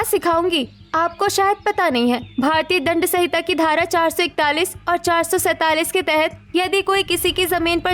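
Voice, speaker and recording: A woman's voice: extremely high pitch, fairly bright tone. A woman voicing an educated young village daughter-in-law in a cartoon story, firm and defiant. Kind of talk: Hindi moral-story cartoon voice acting.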